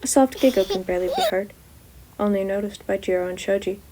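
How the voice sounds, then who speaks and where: A woman's voice: medium pitch, fast, dark tone, poor audio. A woman reading scripted story narration as a podfic narrator.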